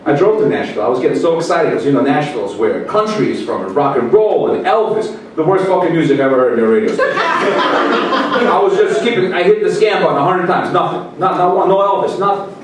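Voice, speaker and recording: A man's voice: on the high side, very animated, fast talker. A man raised in Queens doing a brash, profane stand-up set at a comedy club, working the crowd between bits. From Russia, raised in Queens, New York. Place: comedy club, live audience. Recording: echoey club, distant mic, loud laughing crowd.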